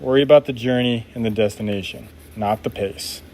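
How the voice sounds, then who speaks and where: A man's voice: medium pitch, fairly quick, darkish tone. A man making knives during a live stream, chatting casually and reading viewer comments aloud in an upbeat, laid-back way.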